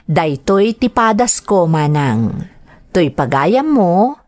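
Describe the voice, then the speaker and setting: A woman playing a gentle, homesick mother working abroad, acting in a sentimental radio drama with a Filipino accent, tender and emotional. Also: lowish voice, very animated, slow, dry recording, studio quality. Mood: heartfelt, grateful.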